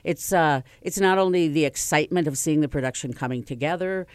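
A woman's voice: low-pitched, a little fast, a little mellow, smooth, dry recording, studio quality. A middle-aged woman, a community theater actor, chatting as a guest on a radio show and laughing often. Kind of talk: casual radio interview.

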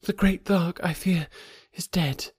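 A man's voice: on the high side, moderate pace, a little mellow, dry recording, clean studio sound, voice pushed up, lightly acted. A man voicing a devoted young woman who speaks fervently in formal, old-fashioned phrasing. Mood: sad.

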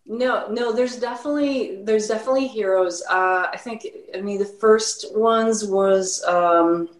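A woman's voice: medium pitch, unhurried. Swedish-accented woman, roomy sound. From Sweden. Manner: rambling, many fillers and restarts. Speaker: musician guest. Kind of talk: casual interview talk.

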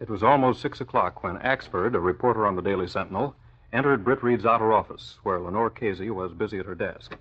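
A man's voice: on the low side, fast, breathy edge, faint music behind. A man narrating a scripted vintage radio story in a dramatic style. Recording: muffled old radio recording.

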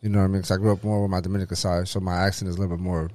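A man's voice: sits low, little pitch movement, racing, warm tone, no echo. A man from Oakland co-hosting a podcast, joking and teasing in a casual chat. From Oakland, USA. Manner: joking, teasing, swears freely. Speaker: podcast co-host.

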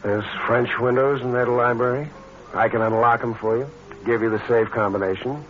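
An actor with an American accent playing a smooth, cold-blooded schemer in a vintage radio crime drama, in terse hard-boiled slang. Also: male voice, mid-range voice, steady tone, normal speed, natural voice. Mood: slick, persuasive. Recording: muffled, noisy old radio recording.

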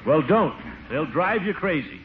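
An actor playing a blustery, exasperated middle-aged uncle in a 1940s radio comedy, pompous and grumbling. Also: male voice, a little high, very animated, unhurried. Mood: glum, grumbling. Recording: noisy, tinny old radio recording.

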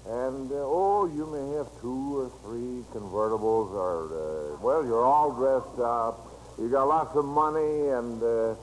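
A man's voice: medium pitch, measured pace, darkish tone. An American man hosting an old-time children's radio show in a hesitant, folksy, coaxing way. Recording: faintly noisy vintage radio recording.

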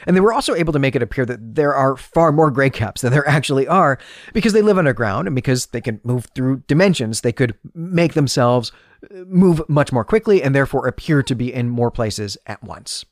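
A man presents a book podcast in an explanatory, semi-scripted but conversational style. His medium-pitched voice moves up and down in a very animated way, with emphatic phrasing. The recording is close and dry. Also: no room sound. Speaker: podcast host and historian.

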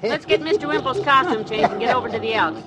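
A woman's voice: on the high side, lively intonation, fast, crowd noise, lightly acted. A woman playing a sensible American wife in a 1950s radio comedy, scolding but fond. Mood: amused scolding. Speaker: comedy actress in a radio sitcom. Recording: old radio recording with faint noise.

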